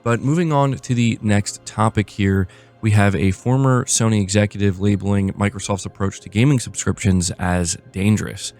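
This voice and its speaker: A man's voice: on the low side, steady tone, moderate pace, darkish tone, faint music behind. A man hosting a gaming news show, reading reports aloud and adding casual commentary.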